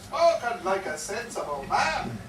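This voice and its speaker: A man's voice: high-pitched, very animated, a little slow. A man on stage playing a garrulous old soldier with an Irish accent, telling a war yarn with theatrical repetition. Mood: wry, wheedling. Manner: theatrical, dramatic storytelling with repeated phrases. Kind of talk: live stage performance of a comic one-act play. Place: live performance in a pub. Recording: echoey, distant live pub recording, faint noise.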